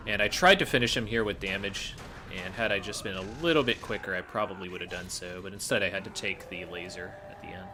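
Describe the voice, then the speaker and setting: A man's voice: low-pitched, fast, few pauses, noisy background. A man giving explanatory gameplay commentary as a speedrunner, matter-of-fact and instructive. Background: faint game music.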